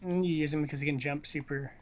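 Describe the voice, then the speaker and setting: A man's voice: fairly high pitch, steady tone, fast talker, a little hiss or hum. A man casually chatting as he watches a video game, teasing and joking in slangy talk. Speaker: commentator on a video game stream.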